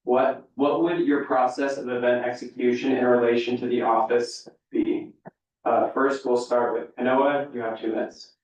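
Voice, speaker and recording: A young man moderating a public panel interview, relaxed and full of fillers. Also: mid-range voice, normal speed. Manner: relaxed, filler-heavy delivery. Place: hall with audience. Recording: echoey room, distant mic.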